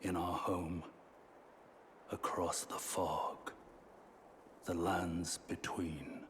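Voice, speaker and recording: Very slow, deep, solemn fantasy narrator. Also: male voice, level tone, slight background noise, full character voice. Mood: solemn, grave. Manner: hushed, grave, drawn-out phrasing. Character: solemn, ancient male narrator of a dark fantasy legend. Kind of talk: scripted dramatic game narration.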